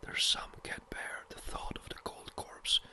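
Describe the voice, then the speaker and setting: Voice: a little slow, pushed and bright. Brief: flustered rural housekeeper, rambling news, whispered by the narrator. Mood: agitated. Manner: breathless, chattering. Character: agitated gossiping country housekeeper, a woman.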